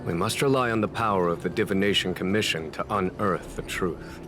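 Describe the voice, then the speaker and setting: Deep, courteous commander played by a man. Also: music in the background, close to an everyday voice. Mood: composed. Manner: measured, formal, diplomatic. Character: composed, courteous, shrewd male military leader. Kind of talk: scripted video game dialogue.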